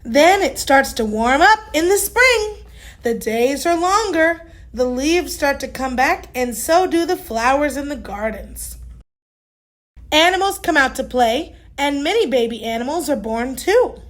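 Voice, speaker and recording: A storybook mother hippo, played by a woman speaks gently and slowly in a high voice. She is patient, soothing and full of reassurance. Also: lively intonation. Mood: explaining gently.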